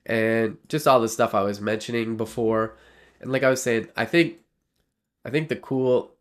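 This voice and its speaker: A man's voice: medium pitch, steady tone, moderate pace. A man with a nasal voice from a cold, talking casually as he streams his coding work, rambling with lots of fillers and restarts. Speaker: livestreaming developer. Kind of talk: casual live coding talk.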